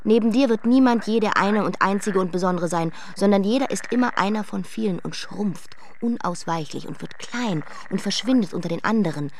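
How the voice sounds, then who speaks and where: A woman's voice: medium pitch, fairly quick, hardly any pauses, a little hiss or hum, natural voice. A woman playing a proud, headstrong queen in a German radio play, speaking in emphatic, declamatory phrases. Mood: cold, commanding. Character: headstrong, imperious young queen, proud and evasive.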